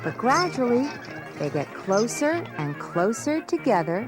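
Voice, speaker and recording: Female narrator for children's educational film: simple explaining, unhurried delivery, clear music bed underneath. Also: a little high, sing-song. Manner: simple, explanatory storytelling addressed to young children.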